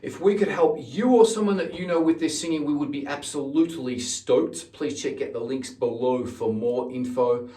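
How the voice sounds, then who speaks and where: A man's voice: medium pitch, normal speed, hardly any pauses, mellow. A middle-aged man hosting an informal instructional video as a singing teacher, explaining things with emphasis on key words and frequent repetition. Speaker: singing teacher and channel host. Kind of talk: informal instructional talk to camera. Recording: roomy echo, distant microphone.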